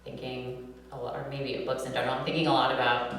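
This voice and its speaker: A woman's voice: very deep voice, little pitch movement, quick pace, fairly bright tone. An American woman with a nasal voice, giving a live poetry reading and introducing poems casually in her own words. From New York City, USA. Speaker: poet reading own work. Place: reverberant room at a live reading event. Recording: echoey hall, distant mic, humming noise.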